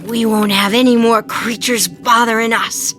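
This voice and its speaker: A woman's voice: a little high, fairly even intonation, moderate pace, music in the background, close to an everyday voice. A woman acting as a stubborn, folksy farm wife, an injured creature in a suspense radio drama. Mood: relieved, tender. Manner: folksy, plainspoken, rustic phrasing. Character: stubborn, frightened, injured female alien farm wife with two heads.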